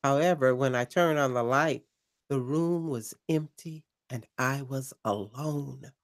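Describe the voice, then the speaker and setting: A woman playing a slow, dramatic late-night radio host. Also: rumbling low. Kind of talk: audio drama acting.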